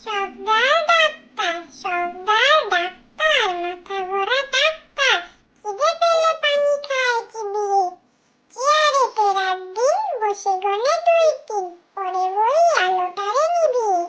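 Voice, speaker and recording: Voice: extremely high pitch, lively intonation, slow pace, pushed and bright, slight background noise, heavily acted. A performer voicing a small cartoon child who chants nursery rhymes in a simple, repetitive sing-song.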